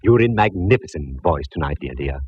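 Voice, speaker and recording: An actor playing a courtly, effusive gentleman with a flowery, theatrical way of talking, in an old radio drama. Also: a bass voice, animated, fairly quick. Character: courtly effusive man, Rembrandt Watson. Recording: lo-fi old radio recording, hissy.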